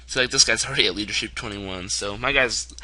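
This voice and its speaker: A young man with a hoarse voice, casually commenting on a strategy game he is playing at home, rambling and chuckling often. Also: lowish voice, fast talker. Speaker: let's play gamer. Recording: steady background noise.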